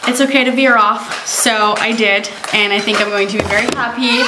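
A young woman vlogging to a handheld camera at home in a chatty, excited way, laughing now and then. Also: on the high side, normal speed, echoey room, very noisy. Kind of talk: casual vlog talk to camera. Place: home living room and kitchen, indoors. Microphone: handheld vlogging camera at arm's length.

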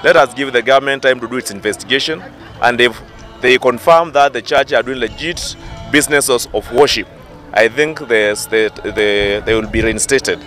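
A young man giving an outdoor press interview, speaking haltingly with many fillers into a handheld microphone. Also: mid-range voice, a bit bright, soft background music. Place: outdoors in open field. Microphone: handheld press mic.

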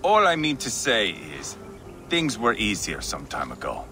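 An actor playing a brash, volatile Viking warrior in a video game, boastful and battle-hungry, with a swaggering, mocking delivery. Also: male voice, fairly low pitch, huge pitch swings, unhurried, sharp tone, soft background music, heavily acted. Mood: brooding. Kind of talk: dramatic video game acting.

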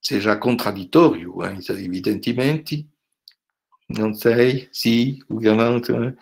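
An older man with a French accent, a visiting professor wrapping up an online lecture on a video call from home, speaking haltingly with many fillers and tag questions. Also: mid-range voice, gravelly, a little mellow. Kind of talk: closing remarks of an online lecture. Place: home room indoors. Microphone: laptop webcam on a video call.

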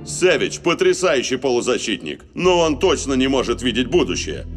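A steady, reassuring cartoon football coach, as a man voices him. His pitch moves freely while he explains. Music is clearly heard under him. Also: normal speed, lightly acted. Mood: calm explaining. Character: calm, confident adult male football coach.

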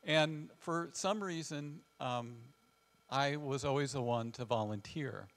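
Older male guest speaker, Midwestern US accent, gravelly with vocal fry, thoughtful pauses, telling personal stories. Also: medium pitch, fairly bright tone. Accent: American Midwestern. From west central Illinois, United States. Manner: halting, reflective, with frequent pauses. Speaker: guest speaker, family counselor. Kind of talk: informal presentation with personal storytelling. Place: large church hall with wooden floor, small seated audience.

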